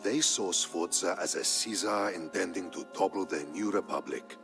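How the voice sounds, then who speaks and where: Male narrator, very low voice, gravelly, solemn, measured, dramatic history storytelling for a game, music behind. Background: background music.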